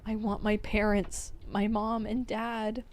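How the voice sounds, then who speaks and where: A woman's voice: medium pitch, level tone, slow pace, slight background noise, voice pushed up, natural voice. A woman voicing a hot-tempered young man full of grief and rage in a dramatic story reading. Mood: weeping. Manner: shouting, snarling, anguished repeated demands. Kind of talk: audiobook-style dramatic character reading.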